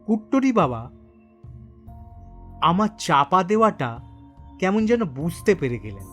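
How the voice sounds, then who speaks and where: Male horror-story narrator, slow and suspenseful, dramatic first-person delivery, lively intonation. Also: high voice, faint music behind. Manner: suspenseful, deliberate phrasing.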